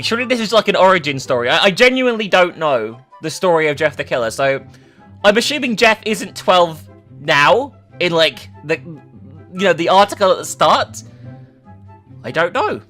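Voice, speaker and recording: Animated, bright-voiced storyteller over music. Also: medium pitch. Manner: expressive, sweary and incredulous in asides. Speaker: narrator and commentator. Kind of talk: dramatic reading of an internet horror story with asides.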